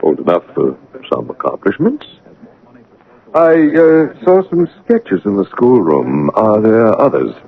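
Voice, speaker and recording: An actor playing a dour, brusque master of the house in a radio drama, with an imperious, probing manner and a husky voice. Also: male voice, on the low side, wildly swooping pitch, slow pace, phone-quality sound, heavily acted. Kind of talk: theatrical radio drama acting. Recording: band-limited vintage radio drama broadcast.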